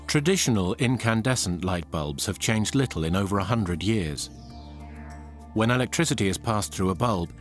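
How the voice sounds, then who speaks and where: A man narrating a documentary in a measured, scripted delivery. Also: lowish voice. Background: music.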